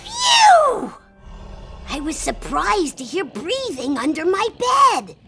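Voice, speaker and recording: Young boy narrator for a picture book, played by a woman: high, creaky, dramatic, very slow storytelling. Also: soft background music, exaggerated voice. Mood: excited. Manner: expressive, dramatic. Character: excitable young boy telling his bedtime monster story.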